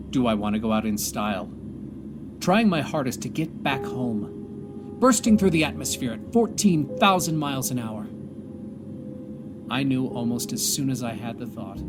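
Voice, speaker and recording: A man playing a stranded astronaut commander, reflective and hopeful, delivering a solemn, measured audio drama monologue with pauses. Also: medium pitch, expressive, very noisy, lightly acted. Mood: reflective, resolute. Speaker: narrator and lead of an audio drama. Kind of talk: dramatic first-person monologue. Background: music.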